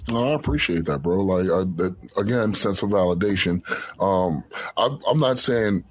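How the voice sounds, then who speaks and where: A man's voice: low voice, lively intonation, moderate pace, no room sound. A man who creates fan content, talking earnestly and boisterously in a casual interview and often tagging his sentences with a filler phrase. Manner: earnest, repeats "you know what I'm saying". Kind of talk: casual video-call interview chat.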